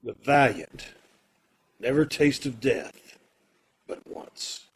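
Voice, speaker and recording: A man playing a condemned prisoner in a radio play, tender and quietly brave, speaking with hesitation. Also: medium pitch, expressive, poor audio. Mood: solemn, resolute. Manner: hesitant, halting delivery. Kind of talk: old-time radio play acting. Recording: home video-call recording with music.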